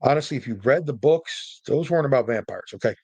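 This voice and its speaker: A man's voice: medium pitch, animated, quick pace, a little mellow, slightly rough, no room sound. A man giving casual solo commentary as a podcast host, informal and opinionated, with joking asides.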